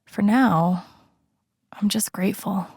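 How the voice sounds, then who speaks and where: A woman's voice: medium pitch, slow pace, a little mellow, breathy edge, no room sound, studio quality. A woman playing an earnest, wry, vulnerable young video game heroine, in an introspective, understated inner-monologue style. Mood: wry, casual. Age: young adult.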